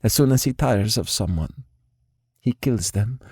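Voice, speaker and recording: A man's voice: fairly low pitch, animated, a little slow, dark tone, husky, no echo, studio quality, heavily acted. A man reading an audiobook, voicing a spirited, blunt young woman in a raised voice. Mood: bitter. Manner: insistent, blunt. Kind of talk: audiobook character voice.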